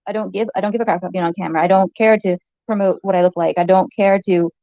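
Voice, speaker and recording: A woman with a soft voice, rambling hesitantly in a clip from a livestream. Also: mid-range voice, fairly even intonation, fast talker, no echo, highs cut off. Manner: hesitant, many fillers and restarts.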